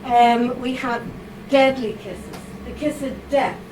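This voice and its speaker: A woman giving a chatty, informal talk to a live audience, full of asides, fillers and quick "okay?" checks. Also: a little high, poor audio. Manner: chatty, animated, with frequent fillers and tag questions. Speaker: writer giving a reading. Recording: audible background noise.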